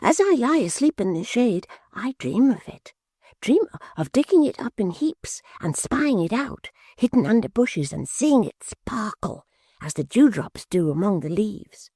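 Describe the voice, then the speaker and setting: A woman's voice: medium pitch, sing-song, moderate pace, mellow, slightly rough, dry recording, studio quality, voice pushed up. A woman voicing an eager, simple-minded young man who talks in wondering, pleading bursts. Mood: dreamy pleading.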